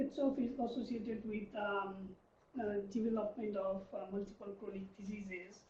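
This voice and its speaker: A man's voice: sits high, warm tone. Hesitant man from Nepal giving a lecture. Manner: hesitant, frequent um and uh fillers. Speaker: research lecturer. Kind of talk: academic presentation. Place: lecture room. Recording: distant, dull, roomy lecture recording.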